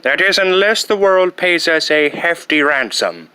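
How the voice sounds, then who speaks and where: A comic movie supervillain, pompous and petty, with a bright, forceful voice set fairly high. He over-enunciates and savors dramatic pauses, and the recording is close and dry. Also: big pitch swings, clean tone, no room sound, heavily acted, low-quality recording. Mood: scheming. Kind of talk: comic film villain impression.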